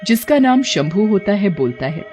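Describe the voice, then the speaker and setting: A woman's voice: mid-range voice, very animated, moderate pace, a little mellow. A woman narrating a scripted cartoon story. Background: background music.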